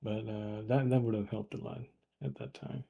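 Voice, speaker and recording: A man chatting as a guest on a live stream, halting and hesitant with many fillers. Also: on the low side, steady tone, gravelly, mellow. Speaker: guest on a livestream. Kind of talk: casual chat.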